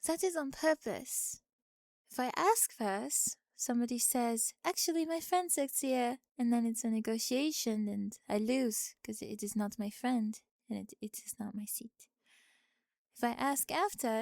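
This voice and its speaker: Woman playing a forward, high-voiced French exchange student. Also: creaky, darkish tone, dry recording, studio quality. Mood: playful, candid. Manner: blunt, self-amused, searching for words. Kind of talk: ASMR roleplay acting.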